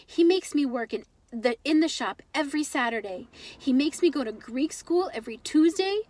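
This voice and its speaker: Woman voicing a frustrated, high-pitched storybook boy. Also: animated, moderate pace, darkish tone. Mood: exasperated complaining. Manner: exasperated, insistent, complaining. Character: frustrated, stubborn young boy narrating his own life. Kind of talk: children's storybook read-aloud.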